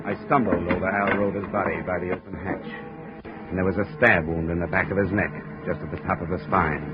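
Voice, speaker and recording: An American actor playing a tough, wry investigator who narrates and argues in a hard-boiled radio drama style. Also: male voice, deep voice, fairly even intonation, fairly quick, over music, natural voice. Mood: tense narrating. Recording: muffled 1950 radio recording.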